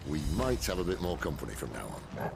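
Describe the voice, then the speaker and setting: A man playing a wry middle-aged rebel leader in a video game, gravelly and sardonic, with a teasing, philosophical bent. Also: very deep voice, big pitch swings, brisk, music in the background, heavily acted. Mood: dry, defiant. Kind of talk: video game dramatic acting.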